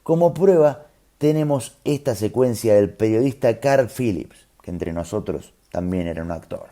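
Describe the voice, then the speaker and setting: A man's voice: on the low side, lively intonation, normal speed, dark tone. A man with a Rioplatense Argentine accent tells a scripted story in a conversational, explanatory way, with colloquial asides. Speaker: storyteller host.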